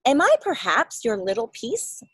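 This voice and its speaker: Lady playing a little guy from a picture book, earnest and questioning, high voice, slow. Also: very animated, a bit bright, slightly rough, no echo, heavily acted. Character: small, earnest, searching little piece creature, male.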